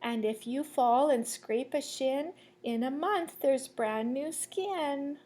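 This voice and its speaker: A woman reading a children's picture book aloud like a teacher, in a measured, sing-song storytelling way. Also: sits high, dark tone. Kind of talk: children's read-aloud storytelling with asides to listeners.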